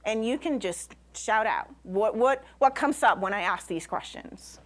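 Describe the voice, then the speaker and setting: A woman speaks to a live audience as an invited science communicator. Her voice sits at a middle pitch. She is a Spanish speaker and presents emphatically, building points through short listed phrases. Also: expressive, unhurried. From Puerto Rico.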